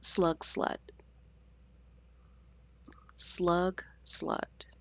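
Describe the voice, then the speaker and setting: A woman's voice: on the low side, vocal fry, darkish tone, no echo. A woman host giving a casual, dramatic review, with exasperated, hesitant asides and exaggerated emphasis.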